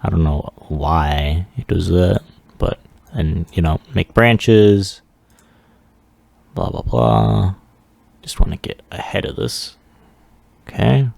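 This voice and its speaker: A man giving a casual, unscripted software walkthrough in a halting, offhand way with mumbled asides. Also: sits low, gravelly, dry recording. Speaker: 3D animation hobbyist presenting a demo.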